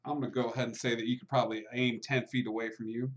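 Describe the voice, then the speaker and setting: A man narrates a tabletop game as its game master, pausing often with fillers as he describes the scene. His voice sits at an ordinary middle pitch. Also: little pitch movement. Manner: hesitant, many fillers. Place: online live stream.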